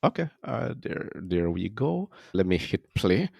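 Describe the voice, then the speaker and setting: A man's voice: low-pitched, very animated, a little slow, creaky, lo-fi sound, very noisy. A man hosting a casual interview show, talking in a conversational way. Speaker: podcast host.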